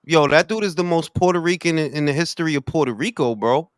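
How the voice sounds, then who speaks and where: High-pitched hype guy talking fights, dry mic, says bro constantly. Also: fast talker, smooth, no echo. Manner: animated, slangy, says "bro" a lot. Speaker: podcast host. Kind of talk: casual boxing chat.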